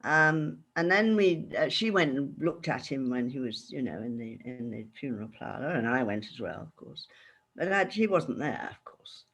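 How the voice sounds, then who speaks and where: A woman's voice: rumbling low, animated, normal speed, fairly bright tone, breathy edge. An older woman chatting frankly as an interview guest over a video call. She laughs often. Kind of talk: casual interview chat.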